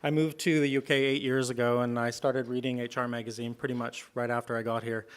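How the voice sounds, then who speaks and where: A man's voice: mid-range voice, flat delivery, brisk, clear, resonant tone. A man with a Canadian accent giving a short, hesitant, grateful acceptance speech in a large hotel function room. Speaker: award recipient.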